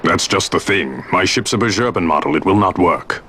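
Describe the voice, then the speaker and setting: An actor playing a gruff, proud sky pirate commander in a fantasy video game, speaking in stately archaic phrases. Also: male voice, deep voice, expressive, moderate pace, pushed and bright, a little hiss or hum. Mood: courtly, solemn. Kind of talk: dramatic video game voice acting.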